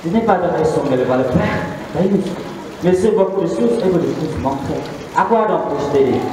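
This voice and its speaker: A man's voice: fairly high pitch, animated, brisk, lightly acted. A young Indonesian man with an Indonesian accent, playing an emperor's loyal companion in an amateur stage reading. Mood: concerned. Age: young adult. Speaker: student actor. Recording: echoey noisy hall, far from microphone.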